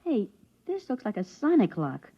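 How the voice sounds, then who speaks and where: A man's voice: extremely high pitch, wildly swooping pitch, moderate pace, husky, no room sound, heavily acted. An actor playing an eager, cheeky thirteen-year-old boy in a 1950s science fiction radio drama, with brash boyish slang. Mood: brash, defiant. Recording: muffled vintage 1950s radio recording.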